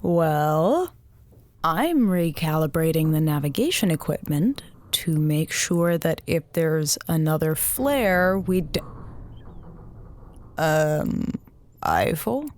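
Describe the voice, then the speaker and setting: A woman playing a suspicious, stern spaceship commanding officer in an audio drama, with a deadpan, sardonic delivery. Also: deep voice, slow pace, no room sound. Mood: wary.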